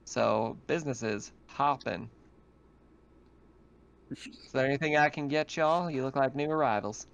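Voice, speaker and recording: A man's voice: mid-range voice, unhurried, creaky, pauses often, a bit bright, clear, resonant tone, dull audio. A man voicing a folksy, flirty woman who keeps a frontier store in a tabletop game, drawling and wry. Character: folksy, flirty woman liquor store keeper on desert colony.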